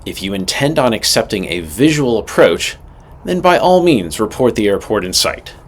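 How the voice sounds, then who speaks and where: A man with a fairly low voice presents a scripted instructional lesson. He sounds like an instructor, direct and matter-of-fact, leaning on his key points for emphasis. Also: sing-song, normal speed. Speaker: instructor presenting a pilot training video.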